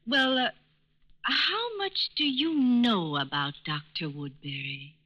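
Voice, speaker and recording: A woman's voice: fairly high pitch, animated, slow. An actress playing a shrewd, self-assured woman con artist in a 1940s radio crime drama. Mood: concerned. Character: shrewd, cool-headed female con artist, sometimes feigning worried mother. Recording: muffled old radio broadcast recording.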